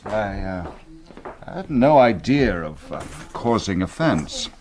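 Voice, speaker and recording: An actor with a British accent playing an earnest, slightly pompous upper-class sheriff in a radio drama. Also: male voice, fairly low pitch, very animated, takes it slowly, noisy background. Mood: apologetic, grave. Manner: formal, well-spoken, slightly pompous. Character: upper-class male sheriff, earnest, stuffy, gently teasing. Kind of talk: BBC radio drama acting.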